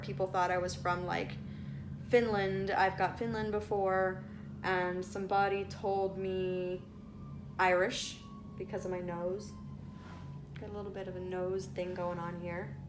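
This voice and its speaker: A young woman talks casually to her viewers, reading out lines with chatty asides. Her voice sits at a middle pitch. A faint hiss lies under the home recording. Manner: chatty, reads aloud with asides, stumbles over place names. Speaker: vlogger. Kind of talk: casual vlog reading out results.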